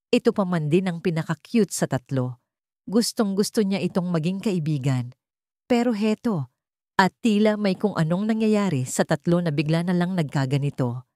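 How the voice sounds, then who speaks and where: A woman's voice: fairly low pitch, a little mellow, dry recording, studio quality. A woman narrating a scripted dramatic audio story as a storyteller.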